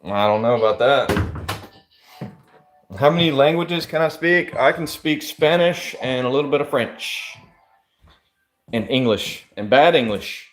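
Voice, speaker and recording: A man's voice: medium pitch, lively intonation, unhurried, pauses often. A man livestreaming and answering chat in a loose, rambling way, full of fillers. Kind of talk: casual chat.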